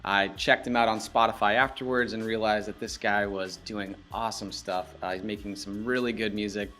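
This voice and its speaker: A fairly low-voiced young man hosts a podcast in an upbeat, chatty way. He tells stories loosely and laughs easily, with faint music under the opening. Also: steady tone, moderate pace, bright, forceful tone. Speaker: podcast host. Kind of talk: casual podcast interview.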